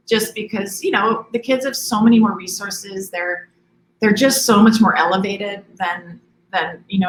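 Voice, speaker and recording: A woman in her forties from Hawaii, a school admissions director talking relaxed in an interview, with many ums and restarts. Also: mid-range voice, echoey room, a little hiss or hum, low-quality recording. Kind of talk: conversational interview.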